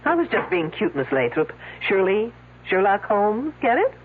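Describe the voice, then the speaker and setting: An actress playing a wisecracking, hard-nosed police detective in a 1970s radio drama, sardonic and probing. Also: female voice, medium pitch, very animated, normal speed, close to an everyday voice. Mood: probing, dry. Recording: muffled lo-fi radio, humming noise.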